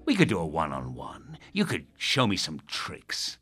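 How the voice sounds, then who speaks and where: An Irish actor playing a self-important, manipulative radio host and politician, telling stories in a pompous, theatrical way. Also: male voice, deep voice, huge pitch swings, moderate pace, bright, forceful tone, slightly rough, full character voice. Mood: reverent storytelling. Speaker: actor in an audio drama. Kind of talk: audio drama acting as a radio host.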